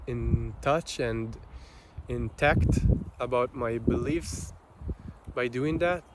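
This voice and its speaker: A man with an Israeli accent, a native Hebrew speaker, giving an unscripted personal monologue in a halting, reflective manner with long pauses. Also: medium pitch, very noisy. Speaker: vlogger.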